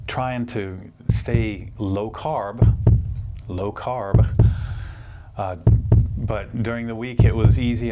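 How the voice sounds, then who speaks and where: A man in his fifties with a fairly low voice talks straight to his viewers in an earnest, meandering way. He circles back, repeats himself and restarts sentences as he shares a personal update. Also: dark tone, slight background noise. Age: 50s. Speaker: orthopedic surgeon and channel host. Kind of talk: casual personal update to camera.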